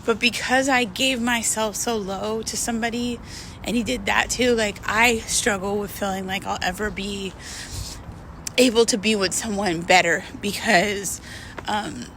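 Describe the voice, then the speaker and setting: Candid woman confiding over clear background noise. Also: mid-range voice, fairly even intonation, a bit bright, low-quality recording. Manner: candid, halting, repeats words for emphasis. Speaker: vlogger. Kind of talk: casual confessional monologue.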